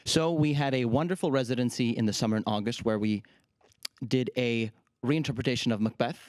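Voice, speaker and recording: A man's voice: medium pitch, brisk, a little mellow, no room sound. A man from Ukraine, a native Russian speaker, talking enthusiastically as an opera company's artistic director in a TV interview. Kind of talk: TV interview chat.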